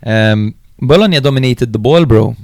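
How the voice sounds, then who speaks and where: A man's voice: mid-range voice, moderate pace, a little mellow, no echo, clean studio sound. A man co-hosting a podcast, recapping and analysing football matches in an informal, chatty way. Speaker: podcast host.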